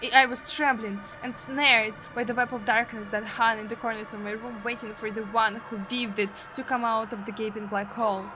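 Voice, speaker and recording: A woman's voice: a little high, level tone, brisk, few pauses, background noise. A woman with a Russian accent, a native Russian speaker, dramatically narrating a visual novel as a streamer. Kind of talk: dramatic narration and live translation of game text.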